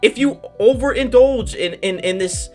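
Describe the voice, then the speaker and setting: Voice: medium pitch, moderate pace, darkish tone, soft background music. A reaction video host talking casually in slang, repeating phrases for emphasis.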